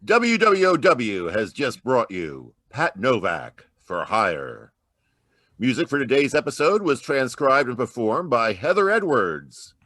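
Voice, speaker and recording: A man works as an old-time radio announcer, reading a scripted sign-off. His delivery is slow and theatrical, with wide swings in pitch. The recording is close and dry. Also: wildly swooping pitch, fairly bright tone, no echo.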